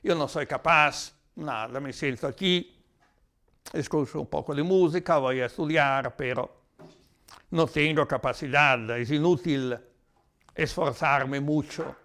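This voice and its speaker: A man with an Italian accent giving a reflective, explanatory talk in a language that is not his native one, sometimes halting as he searches for words. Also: a little high, fairly bright tone. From Italy. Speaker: lecturer.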